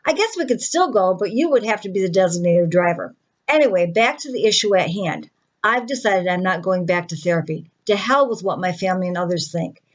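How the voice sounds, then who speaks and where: A woman's voice: on the low side, lively intonation, brisk, dull audio. Indignant, sardonic woman venting, acted by a woman reader. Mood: exasperated, defiant. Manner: sarcastic, exasperated, conversational venting. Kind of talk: dramatic monologue in a screenplay reading.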